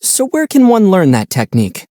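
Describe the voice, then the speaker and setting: A man voicing a calm, gentle young traveler hero in a video game, speaking thoughtfully with mild teasing. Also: sits high, a little hiss or hum. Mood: sensible, questioning. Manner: measured, thoughtful, mildly teasing. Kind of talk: video game character voice lines.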